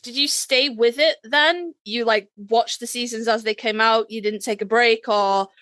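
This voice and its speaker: A woman's voice: fairly high pitch, steady tone, normal speed, fairly bright tone, no room sound. A woman co-hosting a casual chat, putting questions to a guest in informal language. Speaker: podcast co-host.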